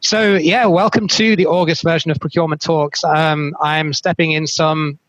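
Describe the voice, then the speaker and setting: A British man moderating a webinar panel, relaxed and self-deprecating, with plenty of fillers. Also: fairly high pitch, normal speed, no echo. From United Kingdom. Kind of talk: webinar introduction. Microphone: video call. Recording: dry, dull lo-fi audio.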